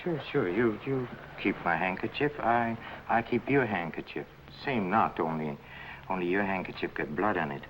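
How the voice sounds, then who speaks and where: A man in a 1950 film noir playing a sly, oily blackmailing businessman, halting and repeating himself with mock friendliness. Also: sits low, close to an everyday voice. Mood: sly, insinuating. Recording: noisy old film soundtrack.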